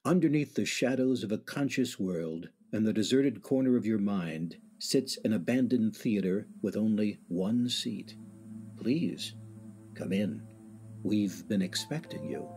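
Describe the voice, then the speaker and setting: Low voiced guy, acting as spooky radio play announcer, slow theatrical delivery, music under him. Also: a little mellow, natural voice. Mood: ominous, deliberate. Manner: theatrical, deliberate, ominous. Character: ominous host of a spooky radio anthology. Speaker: announcer and narrator. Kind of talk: eerie anthology radio drama narration.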